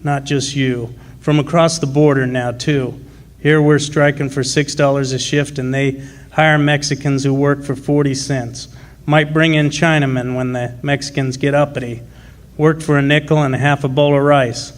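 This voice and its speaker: A man acting as a plainspoken, proud miner, even-toned. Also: mid-range voice, moderate pace, close to an everyday voice. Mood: aggrieved, firm. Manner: plainspoken, folksy working-class speech. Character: gruff, proud working-class miner and union man. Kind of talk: live original radio drama.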